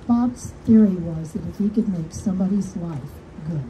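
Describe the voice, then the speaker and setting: An older woman with a naturally deep voice speaks warmly at an outdoor podium. She tells personal stories with a little gentle humor. Her voice comes through a PA system and is recorded from the audience, with the outdoor crowd clearly audible. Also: normal speed, noisy background. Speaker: widow giving a dedication speech. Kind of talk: heartfelt public speech at a podium. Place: outdoors under a canopy, small crowd. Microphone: podium mic heard through speakers, recorded from the audience.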